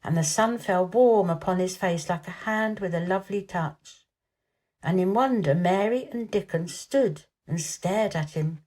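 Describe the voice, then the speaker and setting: A woman storyteller giving an expressive scripted narration of a children's novel. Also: fairly low pitch, a little slow.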